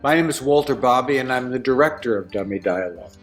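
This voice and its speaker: Earnest man, mid-pitched voice. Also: animated, quick pace, soft background music. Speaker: play director, interview guest. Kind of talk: reflective interview talk.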